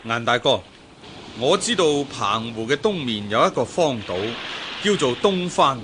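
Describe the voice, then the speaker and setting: A man's voice: medium pitch, very animated, a little slow, background noise. A man acting an earnest fugitive sea merchant in a radio drama, with a Hong Kong Cantonese accent. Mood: weary, earnest.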